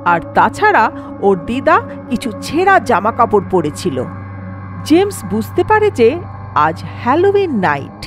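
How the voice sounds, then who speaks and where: A woman's voice: fairly high pitch, wildly swooping pitch, moderate pace, over music. A woman narrating a scripted horror story in a dramatic storytelling manner.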